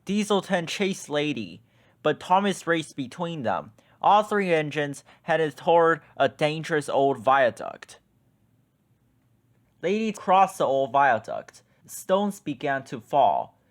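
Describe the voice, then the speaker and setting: A man reading a children's picture book aloud in a lively, expressive storytelling manner. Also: a little high, slow, no echo.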